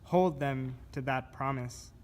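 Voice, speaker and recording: A man giving a scripted spoken-word reading of poetic survival instructions in a measured, deliberate manner. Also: medium pitch, a little mellow. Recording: clear background noise.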